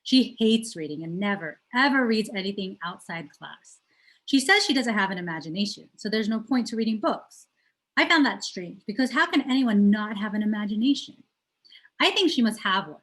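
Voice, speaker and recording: A woman's voice: mid-range voice, animated, normal speed, fairly bright tone. Woman reading a children's story aloud.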